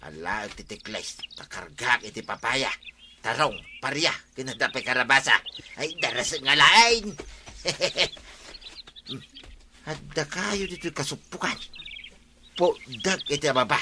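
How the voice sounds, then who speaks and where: Aktor a kas nasikap a lalaki, naringgor ti likudna. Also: male voice, mid-range voice, expressive, unhurried, long pauses, noisy background, natural voice. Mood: sly muttering. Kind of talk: comedic radio drama dialogue.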